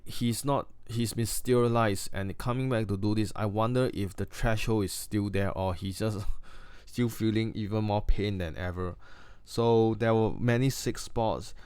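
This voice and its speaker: A man's voice: lowish voice, a little mellow, clean tone, no echo. A man with a Singaporean accent giving a casual, blunt and crude spoken wrestling review, full of fillers and restarts.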